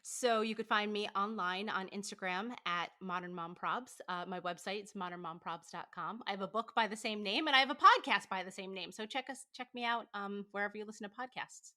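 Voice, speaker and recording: A woman's voice: medium pitch, bright, forceful tone. A woman in her thirties, a podcast guest talking casually, full of likes and fillers. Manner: chatty, lots of likes and fillers, quick laughs. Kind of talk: casual interview chat.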